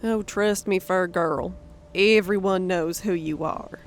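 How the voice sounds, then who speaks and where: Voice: medium pitch, slow, a little hiss or hum, pitched above the performer's own voice, close to an everyday voice. A man voicing a cheerful, friendly female gremlin, chummy and talkative, in an audiobook story. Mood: delighted.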